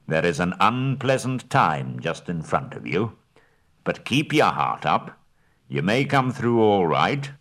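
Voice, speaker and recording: A man voicing a wise, kindly old male wizard who talks slowly and weightily. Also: deep voice, big pitch swings, a bit bright, heavily acted. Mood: reassuring.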